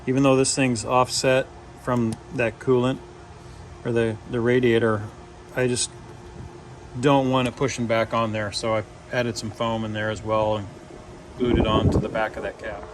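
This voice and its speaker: Regular guy, medium pitch, casually explaining a diy install step by step, bit of vehicle noise in the background. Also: flat delivery, moderate pace. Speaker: DIY video presenter. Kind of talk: casual hands-on install walkthrough.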